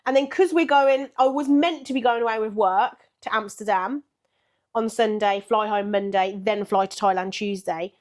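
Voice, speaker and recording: A woman in her thirties co-hosting a podcast, her voice still croaky from being ill, telling stories in a lively way. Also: medium pitch, moderate pace. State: croaky after illness. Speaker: podcast co-host. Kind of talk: casual chat.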